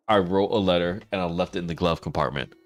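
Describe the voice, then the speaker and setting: A hoarse man, chatting casually with viewers on a livestream and laughing often. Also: low voice. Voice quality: raspy. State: hoarse, losing his voice. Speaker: streamer.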